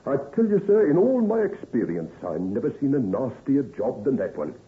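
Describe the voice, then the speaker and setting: An actor playing a blunt, nosy working-class police officer, gossipy and plain-spoken, in an old-time radio drama. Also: male voice, mid-range voice, very animated, normal speed, lightly acted. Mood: appalled, gossipy. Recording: tinny, band-limited vintage radio recording.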